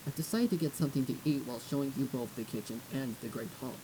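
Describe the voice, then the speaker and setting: A man voicing a blunt adult female pony guard in a toneless, deadpan way for a storybook. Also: mid-range voice, fast talker, background noise, lightly acted.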